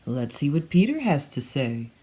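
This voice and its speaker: A woman voicing a patient female pig schoolteacher in a children's storybook, explaining things in a calm, measured, reassuring way. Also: sits low, pitched below the performer's own voice.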